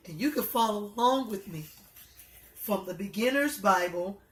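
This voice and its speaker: A woman reading a children's story aloud, with halting, deliberate delivery. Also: medium pitch, animated. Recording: echoey room.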